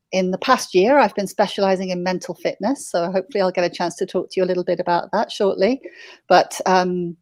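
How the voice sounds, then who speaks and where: A woman's voice: fairly low pitch, a little mellow, no echo. A woman coach giving an earnest, reflective introduction with some hesitations. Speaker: business coach. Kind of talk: panel self-introduction.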